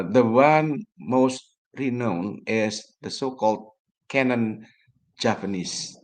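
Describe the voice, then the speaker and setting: A man from Java, a native Javanese speaker with an Indonesian accent, giving an academic lecture in a formal, deliberate way and often addressing his audience directly. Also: low-pitched, creaky. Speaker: guest lecturer, academic researcher. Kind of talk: academic lecture on research methods.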